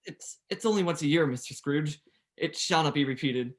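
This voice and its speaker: A young performer playing a meek, flustered Victorian gentleman in a 1930s-style radio play, hesitant and deferential, with a husky voice. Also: mid-range voice, moderate pace, natural voice. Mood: meek, apologetic. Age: young adult.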